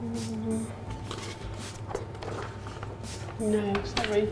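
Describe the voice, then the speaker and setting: A woman's voice: a little high, monotone, takes it slowly, music in the background. A woman in her thirties playing a salon receptionist in a scripted training role-play. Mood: curt, indifferent. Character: young-to-middle-aged female salon receptionist, polite or curtly indifferent. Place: small office at a reception desk, indoors.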